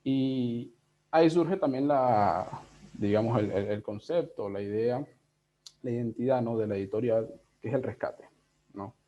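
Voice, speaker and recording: Mellow-voiced Venezuelan man, dull call audio. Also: medium pitch, measured pace, a little hiss or hum. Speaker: interviewed book editor. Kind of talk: casual reflective interview talk. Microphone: video call.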